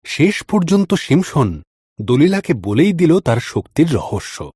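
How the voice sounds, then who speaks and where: A man's voice: a little high, sing-song, measured pace, darkish tone, breathy edge, no room sound, clean studio sound. A man narrating a scripted story in a dramatic storytelling style. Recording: music under the voice. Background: background music.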